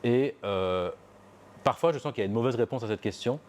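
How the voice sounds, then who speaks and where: A man's voice: mid-range voice, a little fast, darkish tone. A young man giving a personal testimony, reflective and hesitant, with many fillers and restarts. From Belgium. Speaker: interviewee.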